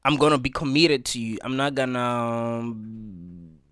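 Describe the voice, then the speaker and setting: A man lays out his views in an earnest, preachy monologue, repeating himself and leaning on "you know". His voice sits at an ordinary middle pitch. It is recorded close and dry, with no room sound. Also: fairly even intonation, measured pace, fairly bright tone, no room sound. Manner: earnest, preachy, repeats points with filler words. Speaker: reaction video host. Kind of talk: casual opinion monologue.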